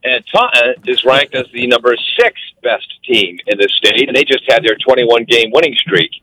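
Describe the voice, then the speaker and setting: A man's voice: medium pitch, expressive, normal speed, sharp tone, a little hiss or hum, low-quality recording. A man in his sixties, a sports broadcaster and radio host, chatting casually with a wry, joking manner. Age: 60s. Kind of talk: casual chat.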